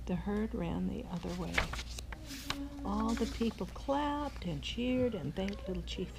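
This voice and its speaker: An older woman reading a children's bedtime story aloud as a grandmother, in an expressive way, on a noisy recording. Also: medium pitch, unhurried, vocal fry.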